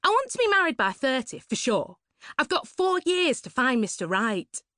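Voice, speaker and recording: A woman reading a story, voicing a tactless, nosy young woman who squawks and gushes in drawn-out exclamations. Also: high voice, very animated, sharp tone, no room sound, clean studio sound, pitched above the performer's own voice, exaggerated voice. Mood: gushing.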